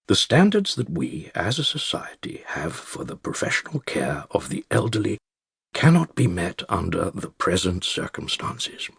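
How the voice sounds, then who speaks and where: An actor playing an elderly male care-home resident with a British accent, narrating his secret diary in a dry, wry, measured and articulate way with a gravelly, husky voice. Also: low-pitched, very animated, fairly bright tone, no room sound, heavily acted. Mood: sardonic. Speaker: audiobook narrator. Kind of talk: scripted first-person diary narration.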